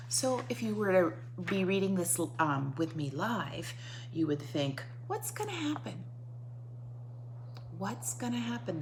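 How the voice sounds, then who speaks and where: A woman teacher reading a picture book to young children, breaking off to explain and coax answers with questions and repetition, on a noisy recording. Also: low-pitched. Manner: instructive, coaxing the listener with questions and repetition.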